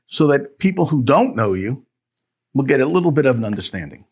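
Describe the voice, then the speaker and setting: A man's voice: medium pitch, big pitch swings, a little fast, breathy edge. A male interview host who asks his guest questions in a friendly, conversational way. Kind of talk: interview hosting.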